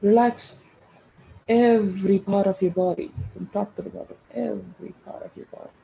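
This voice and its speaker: A man leading a guided meditation, giving slow, soothing instructions and repeating short phrases for emphasis. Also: high-pitched, fairly even intonation, gravelly, dull audio, a little hiss or hum. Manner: repeats phrases for emphasis, addresses listeners as friends.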